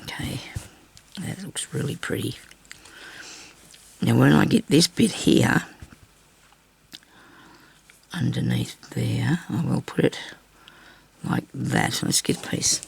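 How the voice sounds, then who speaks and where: An older woman with a raspy, hoarse voice from a bad cough, chatting casually through a sewing tutorial at her craft table. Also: extremely low pitch, slow, long pauses, in a whisper. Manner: chatty, rambling asides while stitching. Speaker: crafting channel host. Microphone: overhead camera on her hands.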